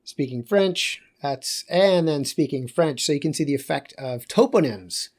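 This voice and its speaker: Man giving a relaxed, rambling lecture. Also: fairly high pitch, a little slow. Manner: loose, conversational, with fillers and self-corrections. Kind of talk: recorded geography lecture.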